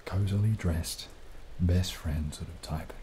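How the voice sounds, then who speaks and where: A young man speaking softly and unhurriedly in casual ASMR style, partly whispering, with some hesitation. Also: low voice, steady tone. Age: young adult. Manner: soft-spoken, hesitant, unhurried. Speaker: ASMR video creator. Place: small dim indoor room. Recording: clearly audible background noise, added rain.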